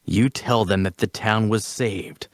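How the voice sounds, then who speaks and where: A man's voice: on the low side, steady tone, measured pace, no echo, studio quality, natural voice. A man playing a self-important adventurer, telling a heroic tale in a grandiose, theatrical manner at a table read. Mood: triumphant. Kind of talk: dramatic fantasy dialogue reading.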